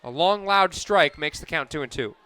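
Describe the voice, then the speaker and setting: A man calling live softball play-by-play on the radio as the announcer. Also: a little high, expressive, moderate pace, smooth.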